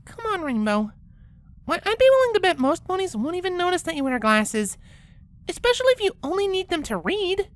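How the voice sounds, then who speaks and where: A woman's voice: extremely high pitch, very animated, brisk, dry recording. A woman voicing a polite, bookish young female cartoon pony princess, speaking with patient, pedantic precision. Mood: reassuring. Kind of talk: character acting in a fan-fiction story reading.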